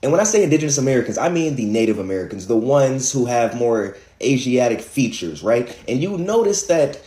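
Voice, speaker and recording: A man's voice: medium pitch, big pitch swings, fairly quick, mellow. A man delivering an assertive, lecturing monologue in a declarative tone. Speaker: online commentator. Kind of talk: lecture-style social media monologue. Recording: roomy echoing recording.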